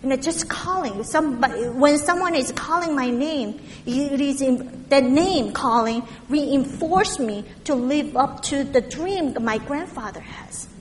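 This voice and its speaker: A woman from Korea with a heavy Korean accent, preaching a sermon in a halting way, with many fillers and restarts. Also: high-pitched, big-room sound, slight background noise.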